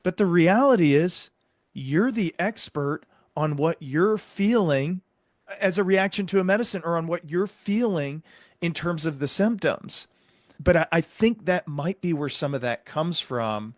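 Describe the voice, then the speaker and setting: A man speaking as a medical provider and interview host, explaining his views in an earnest, measured, conversational way. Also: on the high side, expressive, dry recording, clean studio sound. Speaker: psychiatric provider and podcast host.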